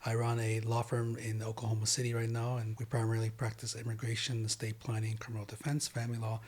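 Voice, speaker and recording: A man from Taiwan, a law firm owner guesting on a podcast in a studio, talking in a steady, plain-spoken way. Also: mid-range voice, fast talker. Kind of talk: casual interview chat.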